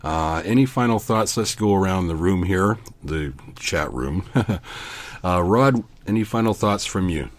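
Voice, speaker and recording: A man in his sixties with a low-pitched voice works as the host of an informal interview. He talks casually and encouragingly, readily agreeing with his guests. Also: expressive, measured pace. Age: 60s. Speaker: interview host, amateur drummer.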